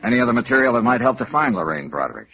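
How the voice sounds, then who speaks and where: An actor playing a wry, hardboiled, middle-aged insurance investigator in a clipped, matter-of-fact 1950s radio noir style. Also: male voice, medium pitch, big pitch swings, racing, creaky, husky, exaggerated voice. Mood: dry, probing. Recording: muffled vintage radio recording with music.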